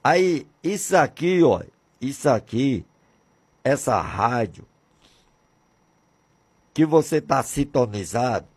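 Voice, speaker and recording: A man's voice: mid-range voice, lively intonation, takes it slowly, long pauses, husky. A man in his sixties from Brazil, talking on religious radio in a fervent, repetitive way with many rhetorical questions. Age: 60s. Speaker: radio presenter.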